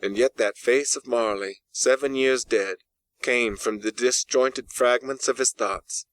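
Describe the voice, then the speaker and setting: A man reading a classic ghost story as an audiobook narrator, with deliberate, dramatic phrasing and emphatic pauses. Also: mid-range voice, a bit bright, no echo, rough recording.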